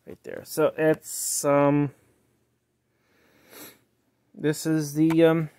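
Halting man in a casual gadget walkthrough. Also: mid-range voice, a little mellow, no echo. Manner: halting, rambling, trails off mid-sentence. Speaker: collector showing a yard-sale cassette player. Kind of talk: casual unscripted product walkthrough. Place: indoors, close over a tabletop. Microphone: handheld phone he is filming with.

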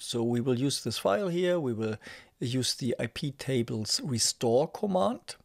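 A middle-aged man giving a casual step-by-step tech tutorial as host, with halting, hesitant phrasing. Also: mid-range voice, creaky, a little mellow, no room sound, studio quality.

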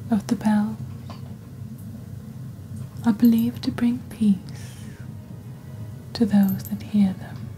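A woman softly presenting products in a hushed, breathy, gentle and deliberate ASMR style, like a scripted fantasy shopping channel. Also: mid-range voice, slight background noise, low-quality recording. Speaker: host of a witch-themed shopping channel roleplay.

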